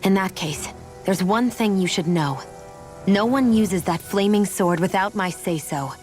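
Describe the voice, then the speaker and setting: A woman's voice: mid-range voice, a little slow, darkish tone, soft background music, natural voice. An actress playing a proud, guarded young woman in a video game, cool and self-possessed. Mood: cool, defiant. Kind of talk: dramatic video game voice acting.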